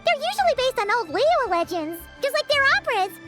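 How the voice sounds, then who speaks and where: A woman's voice: extremely high pitch, big pitch swings, brisk, loud music behind the voice. A woman voicing a tiny, childlike floating fairy companion in a video game, chatty and eager. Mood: chatty, explanatory. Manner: refers to self in third person.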